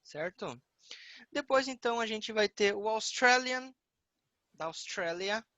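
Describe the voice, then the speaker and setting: A male English teacher with a Brazilian Portuguese accent, explaining a lesson patiently and repeating words slowly. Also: sits high, lively intonation, sharp tone, no echo, lo-fi sound.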